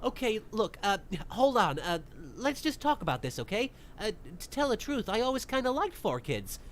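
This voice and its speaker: A man's voice: sits high, sing-song, normal speed. A man voicing a nervous, placating hero in a parody cartoon, hedging and stammering as he goes. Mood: anxious, placating. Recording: noisy background.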